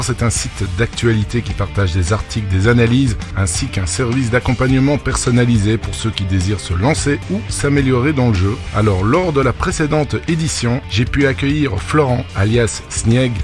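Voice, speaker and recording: Male host, medium pitch, conversational podcast interviewing, faint music.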